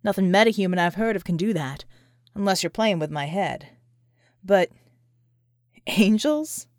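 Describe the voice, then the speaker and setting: A woman voicing a skeptical, wary American man with a gruff, folksy drawl, in an audiobook story. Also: high voice, animated, measured pace, no echo, clean studio sound.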